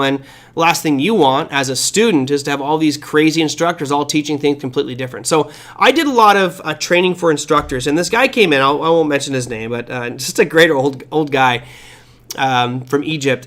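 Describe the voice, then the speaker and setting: A man's voice: fairly high pitch, fairly bright tone. A man hosting a live trading show, answering viewer questions in a chatty, rambling, self-deprecating way.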